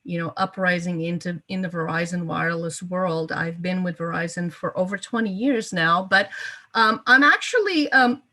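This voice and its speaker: Low-voiced woman from Portugal, hesitant. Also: normal speed, sharp tone. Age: 50s. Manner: hesitant, frequent ums and repeated words. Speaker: interview guest. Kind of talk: conversational life story interview.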